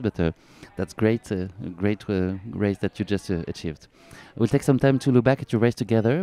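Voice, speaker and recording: A male podcast host with a fairly low voice. He leads the chat in a friendly way and often pauses on "uh" and "um" between phrases. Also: dark tone. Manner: friendly, many filler pauses. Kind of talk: interview chat. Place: pop-up store at a race event.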